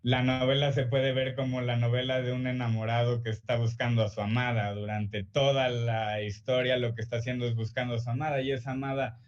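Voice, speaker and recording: A young Mexican man, an author answering interview questions in a relaxed, hesitant way full of fillers and restarts. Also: mid-range voice, fairly quick, few pauses, muffled recording.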